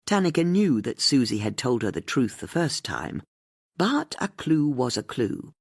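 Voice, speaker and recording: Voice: mid-range voice, very animated, unhurried, creaky, slightly rough, dry recording, studio quality. An audiobook narrator reading scripted mystery fiction as a storyteller.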